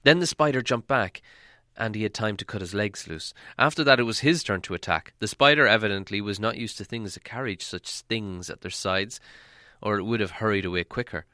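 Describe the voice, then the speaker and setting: A man reads a storybook with theatrical weight and deliberate pauses. His voice is fairly low yet forceful and bright, captured in a very dry, close space. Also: dry recording. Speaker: audiobook narrator. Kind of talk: dramatic scripted storytelling.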